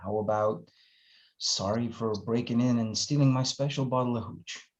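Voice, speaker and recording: An actor playing an awkward, sarcastic, lovesick young man in a screenplay table read, with halting, stammering delivery. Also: medium pitch, fairly even intonation, highs cut off, natural voice.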